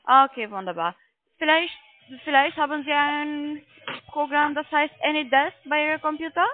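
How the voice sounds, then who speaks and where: High-voiced woman on a tinny phone line. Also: moderate pace, no echo. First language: not German. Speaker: call centre sales agent. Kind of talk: persuasive phone sales pitch. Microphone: telephone.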